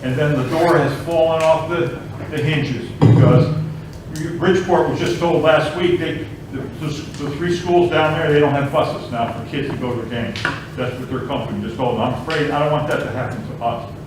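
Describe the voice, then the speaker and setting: A man's voice: a little high, fairly even intonation, moderate pace. A man reporting at a school board meeting, speaking hesitantly with many restarts and a worried tone. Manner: hesitant, repeats words, worried. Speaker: school staff member. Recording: echoey meeting room from far off, hum.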